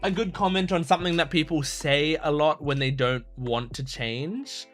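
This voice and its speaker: A man's voice: a little high, moderate pace, fairly bright tone, faint music behind. A man hosting an anime reaction video, talking casually and thoughtfully with lots of "like" fillers and restarts. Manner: rambling, heavy use of "like" fillers and restarts; earnest, thoughtful. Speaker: anime reaction channel host. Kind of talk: casual reflective commentary.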